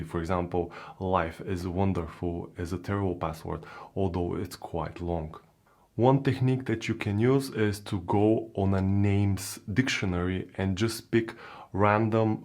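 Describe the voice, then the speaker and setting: A man's voice: deep voice, darkish tone. Bulgarian-accented man giving security tips. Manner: plain instructive, with frequent uh fillers. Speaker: crypto security educator. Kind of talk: explainer video narration.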